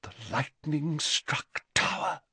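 Guy doing a haughty spooky fortune-teller lady, high and swoopy, very theatrical. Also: big pitch swings, measured pace, dry recording. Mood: ominous. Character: eccentric haughty middle-aged woman seer, dramatic.

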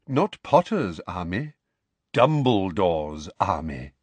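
A man acts out an old headmaster from a storybook, serene and quietly amused. His courteous speech rises and falls freely, lifted above a plain narrating pitch, with no room sound. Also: big pitch swings, slightly rough, no room sound, full character voice. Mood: calm, amused. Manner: gentle, pleasant, unhurried courtesy. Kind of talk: audiobook character acting.

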